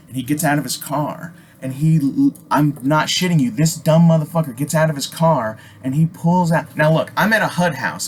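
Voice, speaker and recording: A man's voice: fairly high pitch, a bit bright, slight background noise. A middle-aged man telling a personal story in a casual, rambling way, swearing often and hammering his points home with emphasis. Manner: profane, emphatic, digressive. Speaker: storyteller and video host.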